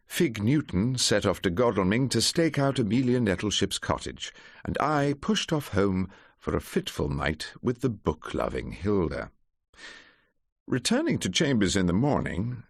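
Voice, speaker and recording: An actor playing a wry, worldly English barrister in a radio drama, with a droll, florid turn of phrase. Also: male voice, fairly low pitch, creaky, full character voice. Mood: wry narration. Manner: droll, florid, old-fashioned turns of phrase. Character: wry, worldly male defence barrister, teasing and unruffled. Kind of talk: British radio drama acting and narration.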